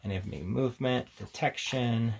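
A man's voice: mid-range voice, normal speed, gravelly, a little mellow, a little hiss or hum. A man giving a casual, unscripted, rambling commentary as a tabletop game reviewer, reading out headings between offhand remarks.

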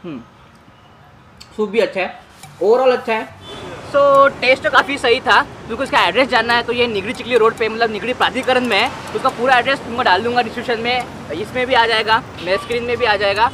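Upbeat young man with a high voice. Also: brisk, a little hiss or hum. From Maharashtra, India. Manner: chatty, loud and upbeat to camera outdoors, quieter and relaxed while eating. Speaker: food vlogger. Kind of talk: casual food review vlog. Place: outdoor street beside a bus, then inside a bus restaurant. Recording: background music under the voice.

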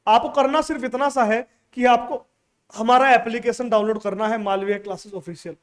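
A man's voice: extremely high pitch, fairly even intonation, brisk. A man. He explains things like a coaching teacher at the board, stressing and repeating the key points. He speaks into a headset mic. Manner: emphatic, repeats key phrases. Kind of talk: explanatory lecture to camera. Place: indoor classroom studio in front of a digital board.

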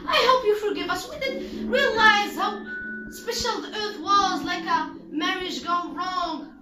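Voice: very high voice, a little slow. A teenager performs a rehearsed spoken-word monologue in an earnest, declamatory manner. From Morocco. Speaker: student performer. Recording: echoey, distant mic, loud music behind. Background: loud backing music.

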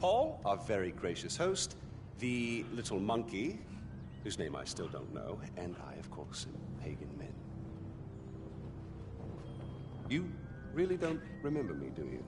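An actor playing a flamboyant, mocking tyrant in a video game, speaking with theatrical, purring menace. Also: male voice, lowish voice, wildly swooping pitch, moderate pace, background noise. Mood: menacing grace.

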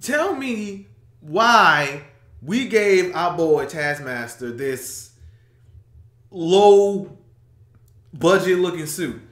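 A young man hosting a casual movie reaction chat, excitable and animated, sometimes trailing off into low mumbles, recorded on a webcam in a small room. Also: drawn-out words, pauses often, echoey room. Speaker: reaction video host. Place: small room indoors, seated.

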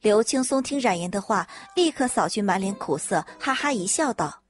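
A woman narrating a web audio novel in an even storytelling manner. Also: mid-range voice, moderate pace.

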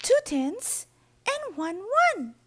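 A woman narrating and voicing toy characters for children in a bubbly, animated way, with drawn-out exclamations. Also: very high-pitched, a little hiss or hum. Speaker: toy channel storyteller.

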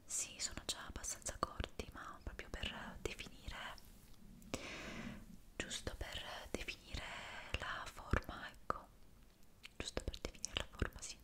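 A woman whispering softly in an ASMR roleplay as an attentive barber, gently reassuring her client. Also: a little slow. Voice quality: soft, unvoiced whisper.

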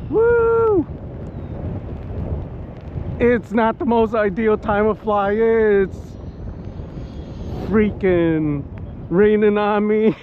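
A man giving casual, excitable live commentary outdoors to viewers as he pilots a model plane. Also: high voice, drawn-out words, pauses often. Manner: excitable, whooping, talking to viewers while piloting. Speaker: hobbyist RC pilot and vlogger. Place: outdoors at a flying spot in wind and rain. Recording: muffled outdoor audio with background noise.

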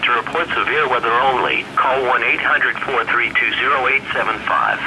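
A man's voice: medium pitch, moderate pace. A man's synthetic-sounding voice reading a formal, impersonal emergency weather bulletin from a radio speaker. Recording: noisy weather radio speaker, re-recorded in room.

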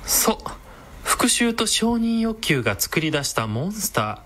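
An actress playing a brash, sardonic villainous woman with a sharp-tongued, theatrical manner in a comedic radio drama. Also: mid-range voice, big pitch swings, measured pace. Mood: grave, explanatory.

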